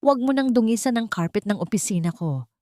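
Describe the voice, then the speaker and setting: A woman's voice: on the high side, expressive, fairly quick, darkish tone, no echo, studio quality, voice pushed up, lightly acted. A woman voicing a cold, arrogant young rich man who speaks with irritation and contempt. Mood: contemptuous.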